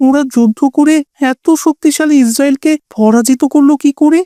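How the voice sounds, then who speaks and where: A woman's voice: high voice, normal speed, warm tone, dry recording, clean studio sound, close to an everyday voice. A woman voicing an earnest, exclamatory young refugee boy in a cartoon story, full of amazement and hope. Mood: amazed, hopeful.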